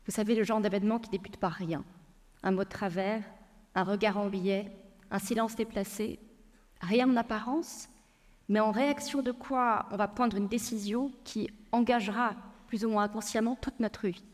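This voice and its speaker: A woman's voice: mid-range voice, steady tone. Woman speaking in a reverberant hall. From France. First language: Persian. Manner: measured, storytelling delivery with frequent hesitations. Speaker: lawyer and speaker. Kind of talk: prepared public talk.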